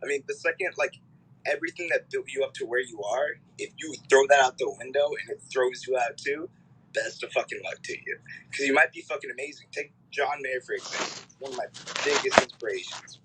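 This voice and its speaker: A man's voice: mid-range voice, a little fast, pushed and bright, breathy edge, poor audio. A young man from Canada, a musician guest, chatting casually and rambling with lots of fillers and swearing. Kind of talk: casual interview chat.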